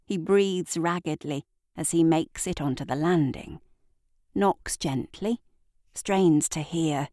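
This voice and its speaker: Female narrator, low and unhurried, close dry sound, brooding delivery for dark scripted drama. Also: no room sound. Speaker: narrator and writer of an audio drama.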